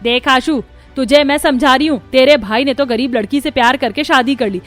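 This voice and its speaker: A woman acts out a bitter, middle-aged cartoon mother-in-law. Her voice is very high, and she nags, scolds and complains sarcastically. Music plays underneath. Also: brisk, natural voice. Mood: sarcastic, nagging. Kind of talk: Hindi cartoon story dubbing.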